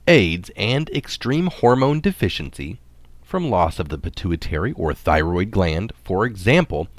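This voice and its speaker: A man reading a scripted health article aloud as narration, in a deliberate, explanatory manner. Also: on the low side, no room sound.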